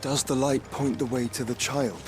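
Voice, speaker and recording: An actor playing a courtly, gently wry young nobleman in a fantasy video game, speaking with polite, formal curiosity. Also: medium pitch, fairly even intonation, moderate pace, background noise, natural voice. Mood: curious. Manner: polite, refined, formal phrasing. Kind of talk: video game cutscene acting.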